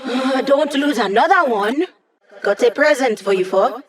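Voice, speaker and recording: A woman's voice: high-pitched, measured pace. A woman voicing an upbeat, quirky young hacker who lives as a digital being in a video game, chatty, playful and wry. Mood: brisk, wry. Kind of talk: video game character dialogue.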